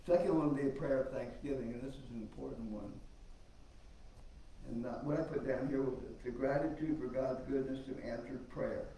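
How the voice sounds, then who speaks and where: A soft-voiced man teaches conversationally, like a guest preacher working through his notes. There is some gravel in his voice, and he sounds far from the microphone in an echoing room with faint noise behind him. His delivery is reflective and informal. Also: mid-range voice, normal speed. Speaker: guest speaker at a church service. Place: indoor service room, mic some way off. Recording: distant mic, roomy echo, faint noise.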